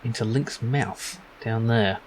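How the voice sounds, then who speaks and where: A man with a medium-low voice hosts a casual let's play. He talks slowly and musingly, in short unhurried remarks, with clear noise and hum behind him. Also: rough recording. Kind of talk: casual game commentary, reading lines aloud.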